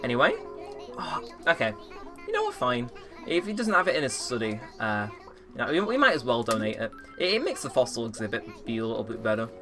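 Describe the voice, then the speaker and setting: A man's voice: medium pitch, wildly swooping pitch, a little fast. An eighteen-year-old man chatting casually through a let's play, rambling and poking fun at himself. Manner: rambling, self-mocking, chatty. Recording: game music playing under the voice. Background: game music.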